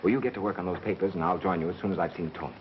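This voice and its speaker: An American actor playing a brisk, bossy amateur sleuth with a wry edge, in 1930s film style. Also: male voice, sits low, fairly even intonation, close to an everyday voice. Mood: confident. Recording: dull, noisy vintage film soundtrack.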